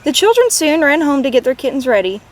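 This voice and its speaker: A woman reading a storybook aloud to children in an expressive, gentle way, as a read-aloud host. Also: high-pitched, quick pace.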